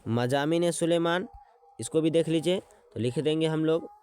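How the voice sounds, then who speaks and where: A man teaching an exam-prep lesson, repeating words slowly as he writes them out and checking in with his students as he goes. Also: fairly high pitch, a little mellow, clean tone. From Bihar.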